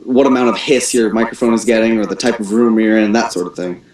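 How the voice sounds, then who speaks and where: A man's voice: fairly low pitch, steady tone, quick pace, darkish tone. Man on a very echoey call. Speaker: audio technician advising a voice cast. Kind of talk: casual explaining on a group call. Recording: echoey room over internet call.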